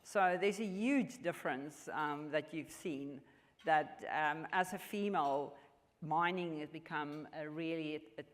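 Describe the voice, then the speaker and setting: South african woman in her 50s, afrikaans first language, earnest, restarts a lot, echoey hall. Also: sits low. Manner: earnest, measured, with frequent restarts. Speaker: mining executive guest. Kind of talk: on-stage interview answers. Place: large function hall. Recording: long hall reverb.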